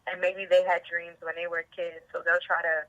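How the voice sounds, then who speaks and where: A woman's voice: fairly low pitch, level tone, moderate pace, fairly bright tone, no echo, dull audio. An American woman from New York chatting casually as an interview guest, outgoing, talkative and slangy, over a band-limited line. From Bronx and Harlem, New York, United States. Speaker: interview guest, TV host and film reporter.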